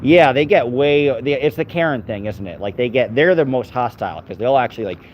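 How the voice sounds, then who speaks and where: A man's voice: mid-range voice, expressive, brisk, highs cut off, slight background noise. A man walking his dog outdoors on city streets, chatting casually to his live-stream viewers and his dog in a rambling way.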